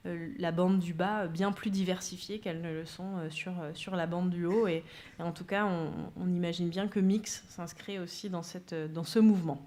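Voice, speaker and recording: A woman's voice: fairly low pitch, fairly even intonation, moderate pace, warm tone. A woman giving a conference talk, hesitating often with fillers. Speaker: speaker from a gender equality centre. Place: conference room.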